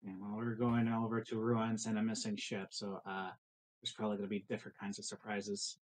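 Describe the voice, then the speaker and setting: A man playing a composed, politely attentive female ship doctor in a tabletop roleplay, speaking formally and explaining things in a measured way. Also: lowish voice, completely flat delivery, natural voice, rough recording. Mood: matter-of-fact.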